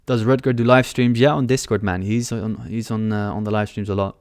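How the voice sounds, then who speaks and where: A man's voice: lowish voice, steady tone, a little fast, darkish tone, no room sound, studio quality. A young man hosting a live trading stream indoors on a headset mic, reading out chat questions and answering them in a casual, offhand way. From born in London. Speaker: trading livestream host. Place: small room indoors.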